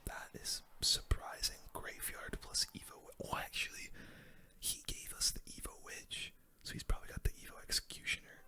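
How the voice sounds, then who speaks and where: Whispering man doing ASMR game commentary. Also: moderate pace. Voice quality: breathy unvoiced whisper. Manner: casual, chatty, addresses viewers as guys. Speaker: gaming video host.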